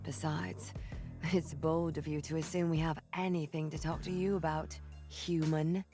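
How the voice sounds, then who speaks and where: A woman's voice: low voice, level tone, moderate pace, mellow, soft background music, lightly acted. An actress playing a haughty, foul-mouthed female vampire with a disdainful, condescending manner in a visual novel game. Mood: scornful.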